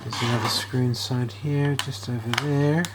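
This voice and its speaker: A man's voice: mid-range voice, steady tone, normal speed. A man talking casually and thinking aloud as he does a hands-on repair vlog. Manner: informal, thinking aloud while working. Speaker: video host and radio repair hobbyist. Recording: clear background noise.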